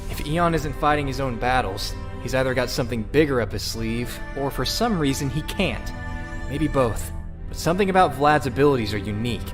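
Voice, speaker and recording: A man's voice: mid-range voice, animated, brisk, over music, lightly acted. Earnest young hero leader played by a man. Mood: thoughtful. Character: seasoned young male superhero leader, earnest and determined. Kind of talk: dramatic motion comic voice acting.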